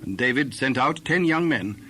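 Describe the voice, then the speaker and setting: A man's voice: mid-range voice, lively intonation, normal speed, sharp tone. Man, narrator, mid-range voice, scripted Bible storytelling, faint ambient noise.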